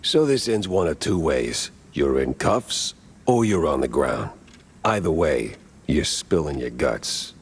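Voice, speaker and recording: Very deep-voiced noir detective. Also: male voice, measured pace, slightly rough, full character voice. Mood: hard-boiled. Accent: American. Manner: deadpan, dry. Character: world-weary, wisecracking noir private detective mouse. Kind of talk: 1930s noir detective game acting.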